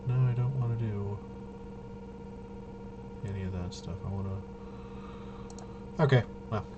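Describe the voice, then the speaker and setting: A man thinking aloud through solo tabletop game commentary, casual and halting, often muttering to himself as he works things out. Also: lowish voice, lo-fi sound, heavy background noise. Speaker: tabletop RPG player and presenter.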